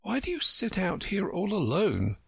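Guy doing a sweet polite little girl in a storybook, curious, higher pitched, kinda husky. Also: huge pitch swings, normal speed, no echo, studio quality, full character voice. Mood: curious, anxious. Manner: gentle, earnest, questioning. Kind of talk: storybook character reading.

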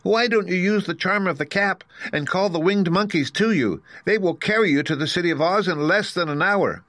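Guy reading aloud doing a squeaky tiny mouse queen, kind, super high voice. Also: animated, normal speed, fairly bright tone, dry recording. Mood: kindly.

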